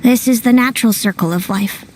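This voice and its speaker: A woman's voice: on the high side, fairly quick, a little mellow, slight background noise, natural voice. Woman voicing a solemn, composed game sister. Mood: solemn, resigned. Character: solemn, composed sister bearing sad news. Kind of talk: video game story voice acting.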